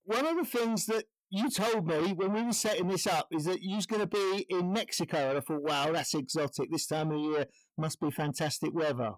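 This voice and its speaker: A man's voice: a little high, fairly quick, low-quality recording. A man from England hosting a podcast interview, casual and jokey, teasing his guest. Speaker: podcast host.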